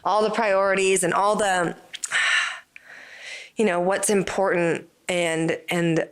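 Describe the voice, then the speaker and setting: A woman's voice: lowish voice, flat delivery, slow pace, smooth. Encouraging woman host, frequent affirmations. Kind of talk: casual interview conversation.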